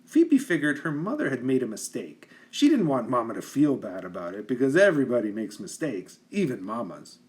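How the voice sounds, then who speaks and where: A man reading a children's picture book aloud like a teacher, with expressive, deliberate emphasis for young listeners. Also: medium pitch. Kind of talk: expressive children's storybook narration.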